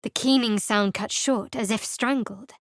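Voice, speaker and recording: A woman's voice: fairly high pitch, unhurried, dry recording, natural voice. A woman gives voice to a secretive young cleric in a fantasy game, clipped and sardonic, keeping everyone at arm's length. Her voice sits a little above the usual, and the studio sound is close and completely dry. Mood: wry. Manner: dry, wry and curt, quietly secretive. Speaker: game character.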